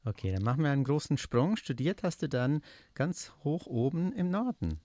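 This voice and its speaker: Mellow male interview host. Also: fairly high pitch, moderate pace, no room sound. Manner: relaxed, asks short leading questions. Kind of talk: conversational interview.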